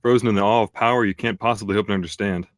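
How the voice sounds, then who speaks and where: A man's voice: fairly low pitch, steady tone, very fast, dry recording, studio quality, close to an everyday voice. A man playing an earnest, nervous, uncertain foot soldier at a screenplay table read. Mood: earnest, troubled.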